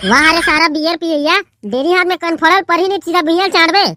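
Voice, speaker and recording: Very high-pitched, blustering cartoon villager. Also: male voice, fairly even intonation, brisk, noisy background. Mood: teasing, boastful. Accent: Chhattisgarhi. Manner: blustering, insult-slinging banter. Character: loud, hot-headed young village man in a comedy cartoon. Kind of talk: comic cartoon dialogue. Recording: music bed under the dialogue.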